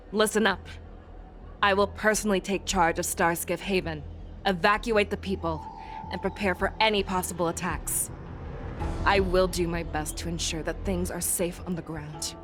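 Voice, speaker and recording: A woman voicing a commanding female general who speaks in a measured, instructive way and rallies her troops. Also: fairly low pitch, close to an everyday voice. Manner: measured, instructive, rousing when addressing troops. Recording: studio game dub over loud music.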